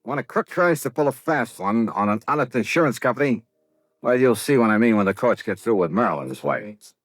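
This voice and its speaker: An actor playing a shrewd, brisk insurance investigator in an American 1950s radio detective drama, clipped and self-assured. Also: male voice, mid-range voice, lively intonation, dry recording, lightly acted. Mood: confident, accusing. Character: shrewd, brisk male insurance investigator narrating his case. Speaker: lead actor and narrator.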